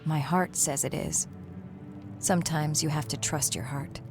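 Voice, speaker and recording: Troubled young heroine, low voice, earnest, dramatic, game cutscene, music behind. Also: female voice, steady tone, measured pace. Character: earnest, troubled young woman with foreboding visions. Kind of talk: scripted video game cutscene acting.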